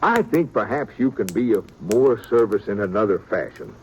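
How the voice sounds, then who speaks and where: An actor playing a fussy, wordy small-town preacher in an old radio drama, pompous and prone to stammering. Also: male voice, on the low side, expressive, normal speed, natural voice. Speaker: reverend. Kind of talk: 1950s radio drama acting. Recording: old radio recording with faint hiss.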